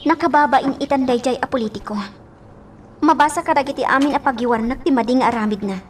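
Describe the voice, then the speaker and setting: A woman's voice: high voice, fast talker, distant mic, slight background noise, natural voice. A woman playing a heartbroken young lady in a radio drama, bitter and wistful, with emotive delivery. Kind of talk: Ilocano radio drama acting.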